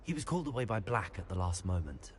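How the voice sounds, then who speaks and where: An actor playing a polite, earnest young wizard student in a video game. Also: male voice, on the low side, very animated, quick pace, a little hiss or hum. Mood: earnest, curious. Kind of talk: video game character acting.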